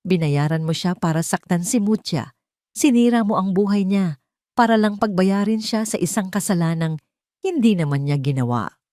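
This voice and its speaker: A woman's voice: on the low side, animated, normal speed, mellow, no echo, clean studio sound, close to an everyday voice. Woman voicing an intense, accusing Filipino rich son. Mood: angry, accusing. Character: wealthy, wounded, determined adult son confronting his mother. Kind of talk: audio drama storytelling.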